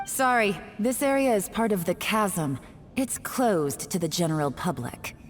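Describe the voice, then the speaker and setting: A woman playing an enigmatic female agent in an English video game dub, composed, teasing and quietly authoritative. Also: mid-range voice, animated, a little slow, a little hiss or hum, natural voice. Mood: firm, cool. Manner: composed, coy, controlled.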